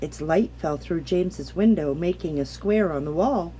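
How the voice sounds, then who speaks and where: A woman reading a children's picture book aloud, gently and deliberately, with dramatic pauses. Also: on the low side, a little hiss or hum, poor audio. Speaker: storybook reader. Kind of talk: expressive children's bedtime read-aloud.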